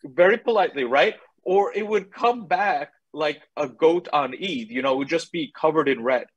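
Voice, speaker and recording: A man's voice: a little high, normal speed, breathy edge, no echo, lo-fi sound. A middle-aged man, a guest on a video call, telling a wry anecdote. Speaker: guest, scholar.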